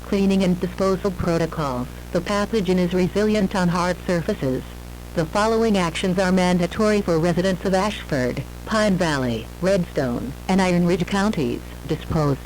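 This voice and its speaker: An emergency alert announcer reading a scripted warning bulletin in a formal, measured manner. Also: mid-range voice, a little mellow, soft background music, poor audio.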